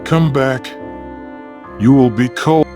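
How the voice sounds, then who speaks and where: A man's voice: mid-range voice, slow, music in the background, lightly acted. A man playing a stubborn but tender old husband and father in a dramatized audio story. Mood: gentle.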